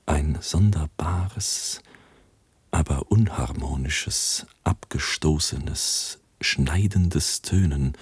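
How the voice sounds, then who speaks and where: An actor playing a grave man who recounts his friend's end in measured, solemn radio play narration. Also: extremely low pitch, a little mellow, husky, no echo, exaggerated voice.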